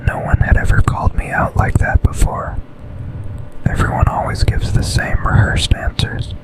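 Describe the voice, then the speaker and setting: A man playing an intense, tender office boss and secret lover in a breathy, intimate whispered ASMR boyfriend roleplay. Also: lightly acted, rough recording. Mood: intimate, confessional. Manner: slow, intimate, deliberate delivery with pauses.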